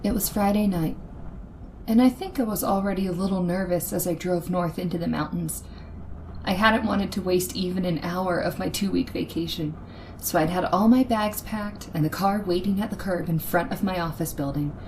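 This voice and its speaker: A woman's voice: fairly low pitch, moderate pace, darkish tone, slight background noise, lightly acted, low-quality recording. A woman playing a nervous, frightened lone traveler in an old-time radio suspense drama, with a shaky, anxious and hesitant manner. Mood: uneasy. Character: nervous red-haired woman driving alone at night, frightened. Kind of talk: old-time radio suspense acting, first-person narration.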